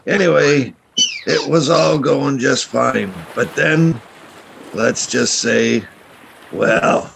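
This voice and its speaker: Male actor, seafaring radio play narrator, very gravelly, folksy storytelling, faint background noise. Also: medium pitch, very slow, a bit bright, heavily acted, low-quality recording. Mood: wry, storytelling. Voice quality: gravelly, creaky. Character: gravelly seafaring man narrating a lobster cruise mishap.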